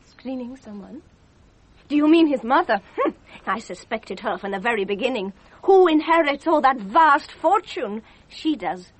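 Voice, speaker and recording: A woman's voice: sits high, expressive, moderate pace, husky, no echo. An actress playing a distraught, passionate young lady in love in a radio drama. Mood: indignant. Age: young adult.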